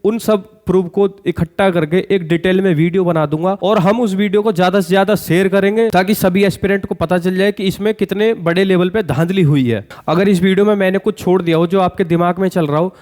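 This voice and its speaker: Male exam-news YouTuber, high-pitched, earnest direct-to-viewer commentary. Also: steady tone, brisk, hardly any pauses, a little mellow. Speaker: exam-news YouTuber addressing job aspirants.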